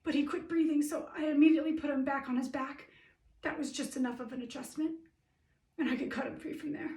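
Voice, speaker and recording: A woman portrays an earnest female police officer in documentary theatre. Her high voice is shaken, plain-spoken and halting. It comes from a little way off in a roomy, echoing space. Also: steady tone, a little mellow, close to an everyday voice. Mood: distressed, careful. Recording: roomy echo, distant microphone.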